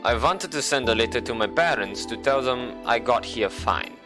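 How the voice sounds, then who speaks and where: A young man reading a visual novel aloud, with playful, sarcastic asides and mock-dramatic slowdowns. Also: medium pitch, expressive, over music. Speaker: game streamer.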